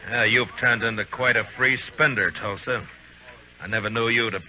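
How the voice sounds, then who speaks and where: A man's voice: medium pitch, steady tone, normal speed, close to an everyday voice. An American man acting a stern, weary, middle-aged frontier lawman in a radio western, terse, dry and commanding. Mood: scornful, challenging. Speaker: radio actor. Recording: dull, noisy old radio recording.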